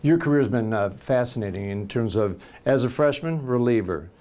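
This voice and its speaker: Chatty American sports broadcaster interviewing. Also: male voice, mid-range voice, normal speed, warm tone. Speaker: baseball play-by-play broadcaster and interviewer.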